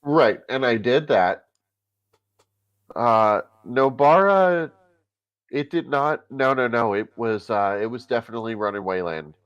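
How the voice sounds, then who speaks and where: A man with a stuffy, congested voice, co-hosting a casual tech podcast over a remote call and pausing often with filler sounds. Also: mid-range voice, expressive, a bit bright, clean tone, muffled recording. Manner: halting, many filler sounds. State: congested from a cold. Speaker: podcast co-host.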